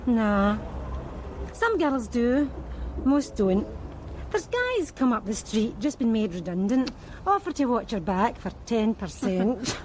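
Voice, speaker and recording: Radio drama: a wry, blunt Scottish street worker, high voice, traffic noise behind. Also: female voice, sing-song, slow, darkish tone, low-quality recording. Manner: blunt, wry, matter-of-fact. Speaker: street sex worker questioned by police.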